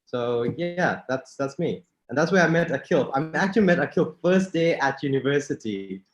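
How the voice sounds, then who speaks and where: A man's voice: a little high, lively intonation, normal speed. Young man from Malawi on a dull video call. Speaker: co-host. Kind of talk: casual online workshop talk.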